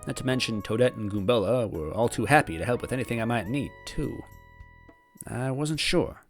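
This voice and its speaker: A man's voice: medium pitch, animated, moderate pace, close to an everyday voice. Guy doing a nervous unsure young man telling his own story, second-guessing himself, music under it. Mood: anxious, brooding. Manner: hesitant, wavering, second-guessing. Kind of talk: first-person storybook narration. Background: background music.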